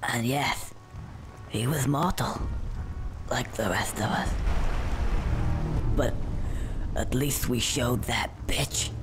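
A man's voice: mid-range voice, slow, long pauses, close to an everyday voice. A man voicing a weary, sardonic male squirrel hero in a video game. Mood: somber. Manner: dry, sardonic, tired. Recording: game audio over loud music, rain.

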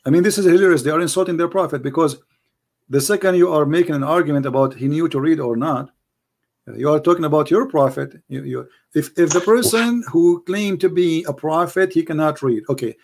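A man's voice: on the high side, a little fast. A man whose first language is Arabic, arguing emphatically in a call-in debate, repeating himself, with non-native grammar. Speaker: debate show host.

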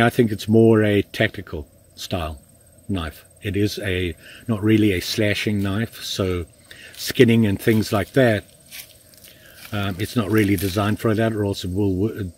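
A man in his sixties giving a relaxed, chatty hands-on knife review outdoors in the woods, with plenty of fillers and restarts. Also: on the low side, breathy edge. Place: outdoors in woods, kneeling in the undergrowth.

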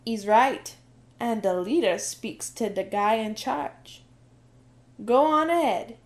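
Sassy girl in newsboy disguise, played by a woman, unhurried teasing delivery. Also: on the high side.

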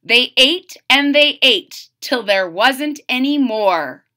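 A middle-aged woman reading a children's picture book aloud with deliberate, theatrical phrasing. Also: high-pitched, animated, pushed and bright. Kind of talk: expressive children's read-aloud. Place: small room at home.

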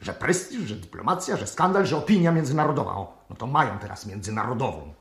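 An actor playing a stern, irritable colonel in a 1960s radio drama, with an authoritative, clipped delivery. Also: male voice, medium pitch, sing-song, fast talker, vocal fry, fairly bright tone. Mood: exasperated. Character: stern, irritated colonel, anti-communist security chief. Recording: roomy vintage radio studio recording.

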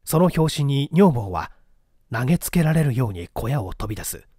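A man's voice: mid-range voice, sing-song, moderate pace, warm tone, husky, no echo, clean studio sound. A man narrating a literary story as a dramatic scripted audiobook reading. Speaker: audiobook narrator, stage actor.

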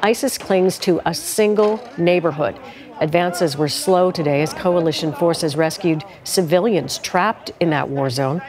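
A middle-aged woman anchoring a newscast from a TV studio, reading crisply with authority. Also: on the low side, measured pace, crowd noise. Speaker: news anchor. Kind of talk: scripted newscast. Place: TV news studio.

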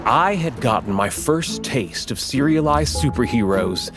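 Brief: a dry, precise adult sitcom narrator, mid-pitched, with music under it. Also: male voice, expressive, a little slow. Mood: wry. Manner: dry, wry, precise delivery. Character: adult male narrator recalling his childhood, dryly. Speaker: adult narrator looking back. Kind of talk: scripted sitcom voice-over narration. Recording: voice-over with clear music behind.

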